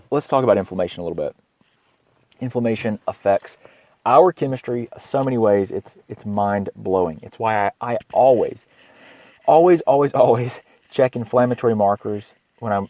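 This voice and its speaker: A slightly hoarse man with a cold hosts an informal health podcast as a doctor, speaking haltingly, repeating words and often clearing his throat. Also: mid-range voice, warm tone, no room sound, highs cut off. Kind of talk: informal educational health monologue.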